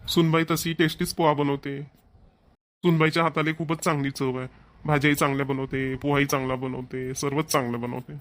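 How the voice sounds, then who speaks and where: A man playing a kindly older father-in-law in a family cartoon, gently advising, with a Vidarbha Marathi accent. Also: a little high, level tone, fairly quick, close to an everyday voice. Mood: appreciative. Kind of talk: amateur family cartoon voice acting.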